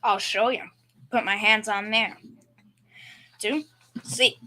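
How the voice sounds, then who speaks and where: A woman's voice: medium pitch, fairly even intonation, takes it slowly, sharp tone. A young female performer playing a bumbling, confidently clueless working-class dad in a school old-time radio comedy, with folksy bad grammar. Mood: scoffing, insistent. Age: young teen.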